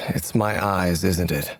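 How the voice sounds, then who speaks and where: A man playing a secretive, ancient masked wanderer in a video game, measured, solemn and guarded, with a gravelly voice. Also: low voice, a bit bright, heavily acted.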